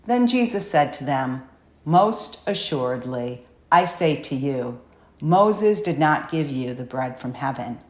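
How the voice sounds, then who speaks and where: A woman narrator reading scripture from a script in a measured, solemn way. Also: low-pitched, reverberant. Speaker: audiobook narrator.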